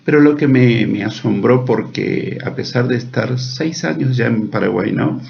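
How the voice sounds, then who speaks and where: A man hosting a church program, interviewing guests in a slow, deliberate manner with a gravelly, husky voice. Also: mid-range voice, darkish tone. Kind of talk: friendly church radio interview. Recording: dull lo-fi sound.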